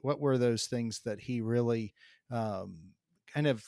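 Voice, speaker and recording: A man's voice: mid-range voice, darkish tone, no room sound, studio quality. A man hosting an interview, asking long questions with a lot of hesitation and fillers. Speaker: interview host.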